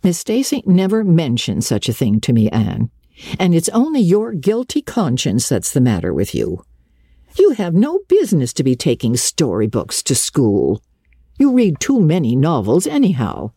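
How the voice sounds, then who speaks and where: A woman's voice: very deep voice, wildly swooping pitch, normal speed, warm tone, slightly rough, dry recording, pitched below the performer's own voice, exaggerated voice. A woman voicing a stern, brusque older female guardian in a dramatic storybook reading, dry and scolding in manner.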